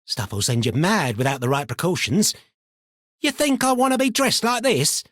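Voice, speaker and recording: An actor voicing an eccentric, paranoid, chatty miner in a video game, with a rambling, colloquial delivery. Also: male voice, high-pitched, very animated, normal speed, fairly bright tone, no echo, studio quality, exaggerated voice. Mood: chummy, animated. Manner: rambling, muttering, colloquial, theatrically swinging between chummy and suspicious. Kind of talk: video game character voice lines.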